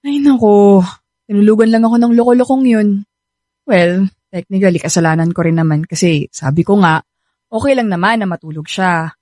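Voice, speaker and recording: A woman's voice: medium pitch, moderate pace, dark tone, no room sound, studio quality, close to an everyday voice. An actress playing an affectionate, easily hurt young girlfriend in a radio drama, with a Filipino accent and a playful, coaxing delivery. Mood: sulky.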